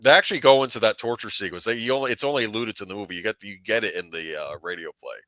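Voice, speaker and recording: A man's voice: on the low side, rapid-fire, fairly bright tone, no echo. A middle-aged man chatting casually as a fan panelist, hesitant and often rephrasing himself. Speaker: panelist on a fan discussion show. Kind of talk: casual chat.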